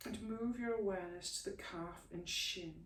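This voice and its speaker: A woman teaching a yoga class, giving slow, soothing guidance through stretches and a guided relaxation. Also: medium pitch. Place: room, recorded at a distance from the camera mic. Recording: echoey room, mic at a distance, faint hiss.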